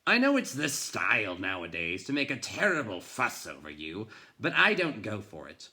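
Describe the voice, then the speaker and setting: A man voicing a cross, gruff old storybook bookseller who grumbles and scolds with blustery scorn. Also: fairly low pitch, wildly swooping pitch, moderate pace, pushed and bright, full character voice. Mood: cross, scolding. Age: older. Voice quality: gruff, growling.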